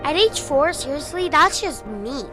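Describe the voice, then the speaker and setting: A woman's voice: sits high, big pitch swings, measured pace. A female performer playing a skeptical, blunt young girl who questions what she hears in an audio drama. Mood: indignant. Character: skeptical, indignant young girl listening to stories. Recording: loud music behind the voice.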